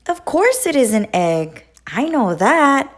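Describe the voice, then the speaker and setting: A woman voicing a fussy, bossy male duck in a children's storybook read-aloud, huffy and quick to scold. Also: high-pitched, sing-song, voice pushed up, heavily acted.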